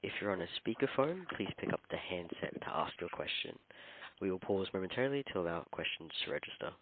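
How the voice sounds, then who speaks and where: Deep operator guy reading instructions, lively up and down, tinny phone audio. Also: fast talker. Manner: formal instructive delivery. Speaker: call operator. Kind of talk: scripted announcements. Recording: phone line.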